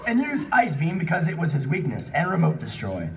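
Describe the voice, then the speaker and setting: A man's voice: a little high, wildly swooping pitch, brisk, creaky, a little hiss or hum. A man hosting a live comedy reading, exclamatory and riffing, breaking from the text into casual asides. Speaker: host and reader at a live comedy panel. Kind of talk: animated comic read-aloud with casual asides. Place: convention panel room. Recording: distant mic, live audience chatter.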